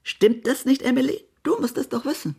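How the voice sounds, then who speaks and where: An actress playing a spiteful, haughty small-town society gossip in a German radio play, blunt and imperious. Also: female voice, high-pitched, a little fast, vocal fry, dark tone, breathy edge, exaggerated voice. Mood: indignant, venomous. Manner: blunt, acid, imperious, swearing.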